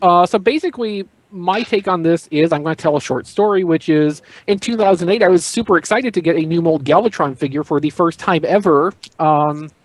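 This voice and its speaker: A man's voice: fairly high pitch, a little fast, hardly any pauses, no echo. A man chatting casually as a panelist on a hobby podcast. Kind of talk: casual chat.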